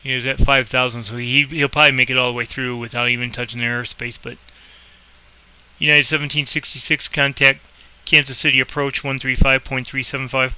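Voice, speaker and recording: A man talks through a simulator game in a very bright, sharp voice that stays fairly flat in pitch. He is informal and rambling, thinking aloud between clipped controller calls, and his tone is clean and clear. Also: a little fast, poor audio. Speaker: host explaining a game and playing air traffic controller. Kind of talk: casual screen-recorded game tutorial with radio calls.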